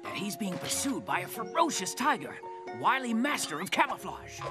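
A woman's voice: mid-range voice, sing-song, moderate pace, distant mic, music in the background, natural voice. A woman voicing a mock nature-documentary narrator in a cartoon, telling it dramatically. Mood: mock suspense.

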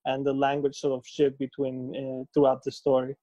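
Even, mellow male interviewer, echoey call. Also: medium pitch, normal speed. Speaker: interviewer, film critic moderating. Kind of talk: casual Q&A moderation. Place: room at home. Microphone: video call on phone or laptop. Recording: dull, echoey video-call audio.

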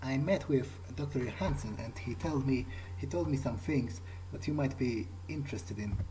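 A man's voice: medium pitch, normal speed, dark tone, a little hiss or hum, rough recording. A man hosting a gaming video, improvising in character and thinking aloud in hesitant, musing fragments. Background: game music.

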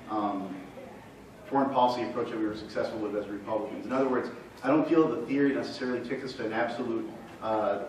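A man's voice: mid-range voice, a little hiss or hum. Man speaking on an echoey conference panel. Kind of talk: panel discussion. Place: large conference room. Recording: echoey room, far from mic.

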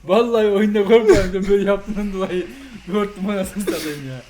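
A man's voice: high-pitched, fairly quick, darkish tone. A man chatting casually as co-player in a horror game, slangy, joking and teasing. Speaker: co-player on a gaming video.

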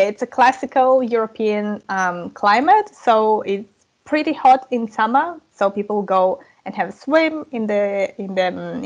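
A woman's voice: fairly high pitch, muffled recording. A woman in her thirties from southern Ukraine, a native speaker of Russian and Ukrainian, talking informally as an interview guest over a video call. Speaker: podcast guest, language coach. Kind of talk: casual interview chat. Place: indoor room, video call. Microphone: earphone headset on a video call.

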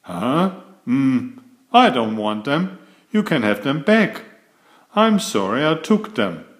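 A man's voice: mid-range voice, sing-song, takes it slowly. A man voicing a cheeky young boy, the narrator's little brother, in a read-aloud storybook. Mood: sheepish.

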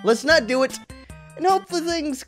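A man's voice: very high-pitched, animated, fairly quick, soft background music. A man in his twenties giving casual gaming commentary into a close microphone in a small room. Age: 20s. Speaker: gaming YouTuber. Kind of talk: casual let's-play commentary. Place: small home room indoors. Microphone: close boom-arm studio microphone with headset.

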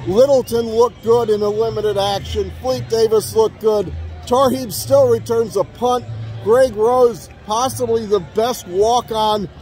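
A man's voice: high voice, a little slow, darkish tone. A middle-aged man hosting a fan show's postgame chat from the field, upbeat and rattling off player stats. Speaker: fan show host. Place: outdoor stadium field after a game. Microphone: phone selfie at arm's length. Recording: stadium crowd and music behind.

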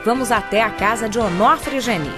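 A female narrator with a Brazilian accent reads a scripted radio drama. Her voice sits fairly high, with music clearly playing behind it. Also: normal speed.

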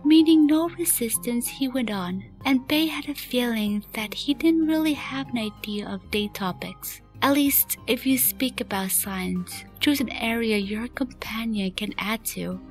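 A woman's voice: a little high, a little slow, hardly any pauses. A woman reading scripted story narration as the narrator of a fan radio play. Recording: faint music behind. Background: faint background music.

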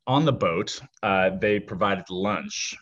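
A fairly low-voiced man tells a loose, rambling story, stopping for fillers and small restarts. The recording is dull and lo-fi, as if the highs were cut off. He sounds relaxed and in no hurry. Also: slow pace. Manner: laid-back, rambling with fillers. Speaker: guest. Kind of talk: casual storytelling chat.

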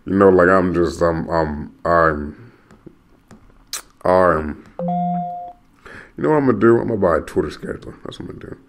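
Young american guy, very low voice, rambly podcast rant, keeps repeating himself, swears a bit. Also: moderate pace, pauses often. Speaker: podcast host. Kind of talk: rambling solo monologue.

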